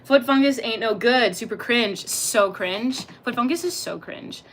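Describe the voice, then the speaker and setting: A young woman live-streaming, chatting casually and reacting to her chat in a rambling, slangy, animated way. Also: a little high, a little slow. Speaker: livestreamer reading chat.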